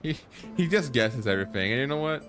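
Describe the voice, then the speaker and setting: A man's voice: mid-range voice, animated, quick pace, fairly bright tone. A man streaming a game, reacting casually with jokes and slang over the game's music. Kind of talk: casual live game commentary.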